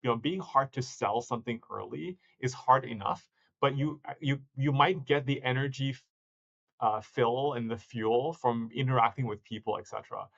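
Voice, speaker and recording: A man, a startup founder, answering interview questions in a reflective, halting way with frequent restarts. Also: medium pitch, creaky, fairly bright tone, dull audio. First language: not stated. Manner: reflective, many restarts and self-corrections.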